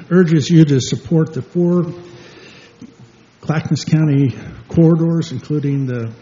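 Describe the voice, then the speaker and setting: A middle-aged man giving a measured, prepared public comment at a meeting. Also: medium pitch, mellow, a little hiss or hum. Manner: measured, reading from notes. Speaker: public commenter for a business group. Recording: muffled meeting-room audio.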